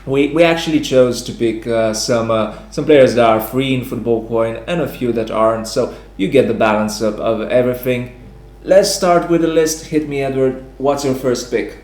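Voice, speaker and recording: Slightly hoarse man hosting in an echoey room. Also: medium pitch, moderate pace, hardly any pauses, a little mellow. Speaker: host. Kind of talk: casual sports chat. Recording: roomy echo, music underneath.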